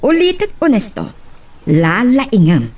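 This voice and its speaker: Actress as a scheming, expressive businesswoman. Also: fairly high pitch, slow, heavily acted. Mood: smooth, coaxing. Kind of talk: radio drama acting in Ilocano.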